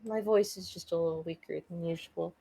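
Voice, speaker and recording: A woman's voice: lowish voice, fairly even intonation, a little fast, creaky, dark tone, breathy edge. A woman chatting casually as a guest co-reader on a game stream.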